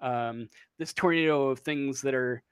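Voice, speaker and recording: A game master narrating a tabletop roleplaying game in a casual, halting, conversational way. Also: medium pitch, smooth, no echo, clean studio sound.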